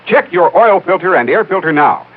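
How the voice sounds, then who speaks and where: Old time american radio ad guy, high animated voice, punchy sales pitch, tinny phone-like recording. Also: moderate pace. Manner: folksy, emphatic sales pitch. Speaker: commercial announcer. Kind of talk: 1950s radio ad read.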